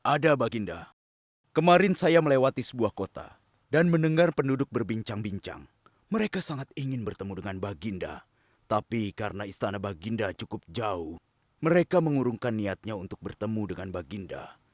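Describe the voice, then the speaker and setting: Casting: loyal, humble court adviser for animation, lowish voice, very dry sound. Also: male voice, lively intonation, moderate pace, no room sound. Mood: respectful, earnest. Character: deferential, earnest male royal adviser. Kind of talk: Indonesian children's cartoon dubbing.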